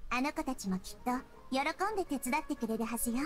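A woman voicing a kind, wise childlike goddess in a Japanese anime game, speaking in a soft, composed, explanatory manner. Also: on the high side, quick pace, over music, close to an everyday voice. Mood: helpful. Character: gentle, wise childlike girl deity, calm and kind.

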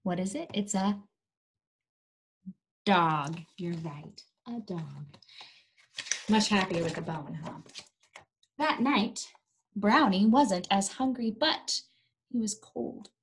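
A young woman reading a storybook aloud to small children in an animated, coaxing way, pausing to ask them questions. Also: mid-range voice, a little hiss or hum. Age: young adult. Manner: animated, coaxing, exaggerated delivery for young listeners. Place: small indoor room.

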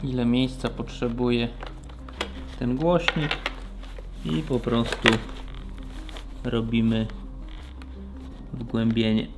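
A man talks through a practical installation in a slow, unhurried way. His pitch is medium and stays very level, with the plain manner of a technician showing each step. Music plays behind his voice. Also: fairly even intonation. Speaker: motorcycle shop technician demonstrating installation. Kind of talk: casual hands-on tutorial narration.

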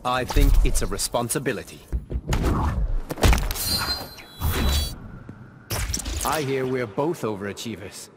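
An actor as an earnest young scientist superhero. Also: male voice, medium pitch, a little fast, close to an everyday voice. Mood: earnest, confident. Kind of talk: video game pre-fight dialogue. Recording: very noisy game audio with effects.

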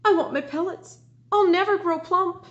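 A woman voicing hungry, impatient farm animals from a children's storybook, loud, whining and demanding. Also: very high-pitched, moderate pace, highs cut off, voice pushed up. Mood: grumpy, demanding. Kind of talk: storybook character reading for children.

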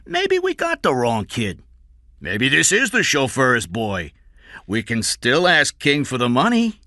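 Man acting a menacing, forceful kidnapper. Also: mid-range voice, wildly swooping pitch, a little slow, dry recording, studio quality, pitched above the performer's own voice, exaggerated voice. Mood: sneering menace. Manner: barking, threatening, sneering. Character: small, violent, menacing male kidnapper, hard-hearted bully.

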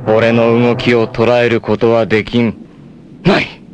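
A man's voice: medium pitch, completely flat delivery, measured pace, faint music behind, natural voice. A man playing a proud, vengeful martial-arts warrior in a 1980s anime, cool, ruthless and theatrical in delivery. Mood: cool menace. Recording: noisy old anime clip compilation.

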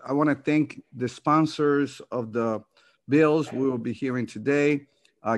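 A man's voice: mid-range voice, no room sound. A middle-aged man from New York reading an opening statement as committee chair from his notes over a video call. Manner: reading from notes, with fillers and restarts. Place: home office, indoors.

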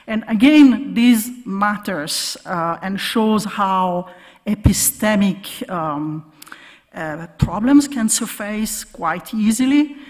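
A woman researcher delivers an academic conference talk in a big hall with long reverb. Her voice sits at a middle pitch, and her explanations come haltingly, with words repeated as she searches for the next one. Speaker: keynote speaker, academic researcher. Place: large conference hall stage, at podium. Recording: reverberant lecture hall sound.

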